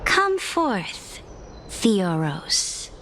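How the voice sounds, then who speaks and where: A woman playing a haughty, commanding young emperor in a video game, speaking in a calm, regal and formal manner. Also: mid-range voice, a little hiss or hum, exaggerated voice. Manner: regal, measured, formal, deliberate. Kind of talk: scripted video game voice acting. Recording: orchestral game music behind the voice.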